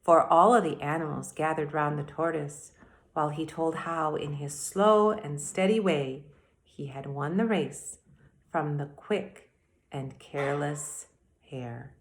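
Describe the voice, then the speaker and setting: A woman teacher reading aloud and explaining to young children in a deliberate, expressive way, speaking to them directly. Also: low-pitched. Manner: deliberate, addresses children directly with questions and asides. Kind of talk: expressive children's read-aloud and mini lesson.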